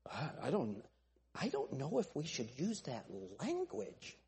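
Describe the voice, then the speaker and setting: Preacher guy with a thin nasal voice, pitch swooping all over, echo of a big church behind him. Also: huge pitch swings, measured pace, gravelly, slightly rough. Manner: forceful, emphatic preaching with repeated punchy phrases. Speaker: pastor. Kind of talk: fiery sermon. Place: church sanctuary.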